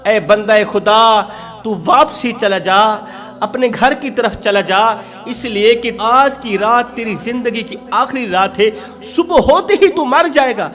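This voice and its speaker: A man's voice: very high voice, unhurried, fairly bright tone. A man voicing a solemn prophet in a sermon story, grave and commanding as he pronounces doom. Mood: grave warning.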